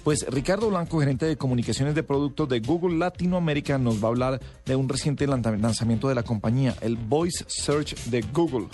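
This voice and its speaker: A man's voice: medium pitch, brisk, hardly any pauses, darkish tone, soft background music. A man hosting a casual radio interview, talking with many fillers and restarts. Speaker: radio host.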